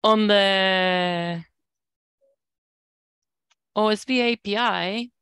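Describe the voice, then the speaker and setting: A woman's voice: mid-range voice, drawn-out words, fairly bright tone, smooth, no room sound, clean studio sound. A woman giving a spoken status report to colleagues as a working group lead on an online call.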